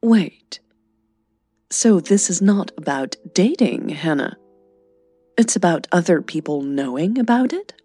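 A woman's voice: fairly low pitch, lively intonation, measured pace, long pauses, mellow, soft background music. A woman voicing a middle-aged mother in an audio drama, blunt yet caring and emotional. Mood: probing, concerned.

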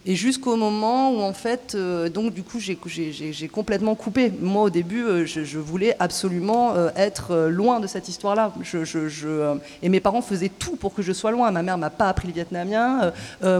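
A woman's voice: fairly low pitch, a little mellow. A woman stage director and author, talking in a live festival interview, hesitant, with many fillers and restarts. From southern France. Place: outdoor cloister at a festival. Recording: echoey outdoor cloister, live broadcast.

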